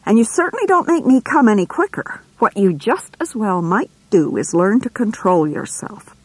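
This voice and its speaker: A woman's voice: mid-range voice, sing-song, normal speed, breathy edge, no room sound, voice pushed up, poor audio. A woman reading aloud, voicing a bossy, falsely polite middle-aged nurse who scolds and condescends in a sing-song way. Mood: scolding. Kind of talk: audiobook reading.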